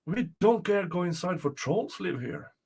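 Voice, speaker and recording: A fretful old mother from a folktale, acted out by a male storyteller. The voice is raised high and swings around anxiously, pleading and warning. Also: animated, a little slow, a little mellow, slightly rough, full character voice. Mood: fearful. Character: frightened, fretful old woman, the boy's mother.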